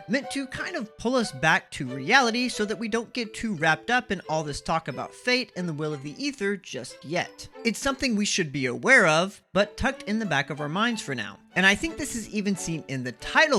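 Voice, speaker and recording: A man's voice: sits high, very animated, normal speed, hardly any pauses, pushed and bright. A man narrating a scripted video game analysis as the series host, explaining things with emphasis. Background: background music.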